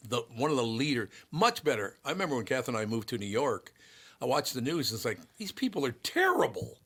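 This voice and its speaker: A man in his sixties from Minneapolis, hosting a casual talk show. He has a gruff, slightly harsh voice and tells long, rambling stories full of restarts. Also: mid-range voice, sing-song, brisk, fairly bright tone. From Minneapolis, USA. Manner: rambling, storytelling, frequent restarts. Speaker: show host. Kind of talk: casual chat.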